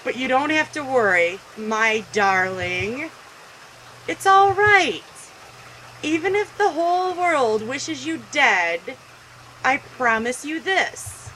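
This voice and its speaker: A woman's voice: high voice, animated, slow pace, bright, forceful tone, slight background noise, exaggerated voice, poor audio. A woman voicing a possessive, scornful mother speaking to her daughter in a video game story. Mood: soothing, possessive.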